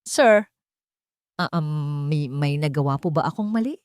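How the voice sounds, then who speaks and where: A woman's voice: sits low, lively intonation, measured pace, darkish tone, clear, resonant tone, no room sound, clean studio sound. Woman voicing a polite, proud young job applicant. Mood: nervous, confused. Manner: hesitant, respectful, emphatic. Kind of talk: dramatic romance audio story.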